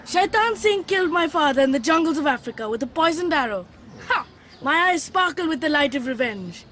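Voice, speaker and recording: Very high-pitched, daredevil little boy. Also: animated, fairly quick, a bit bright, slight background noise. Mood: boastful, heroic. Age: child character. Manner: theatrical declaiming and taunting. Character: bold imaginative little boy playing swashbuckling action hero. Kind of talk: radio drama acting.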